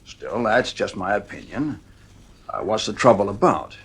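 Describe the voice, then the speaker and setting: Husky, measured male psychiatrist, acted. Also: lowish voice, big pitch swings, exaggerated voice. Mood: measured, professional. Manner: measured, dry, opinionated. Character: avuncular, frank psychiatrist, measured and gravely concerned. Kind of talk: audio drama acting.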